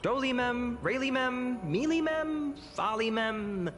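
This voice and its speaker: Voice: mid-range voice, takes it slowly, fairly bright tone, soft background music. A performer playing an earnest, youthful boy swordsman in a video game, fond and slightly unsure. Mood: nostalgic. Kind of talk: video game character acting.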